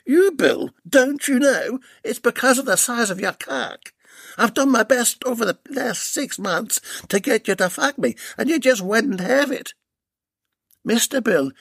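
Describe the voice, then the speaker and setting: A man's voice: high voice, creaky, fairly bright tone, slightly rough, dry recording, pitched above the performer's own voice, heavily acted. A man voicing a scheming, cackling Australian woman who taunts her captive, with an Australian accent, in a read-aloud story. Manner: taunting, mocking.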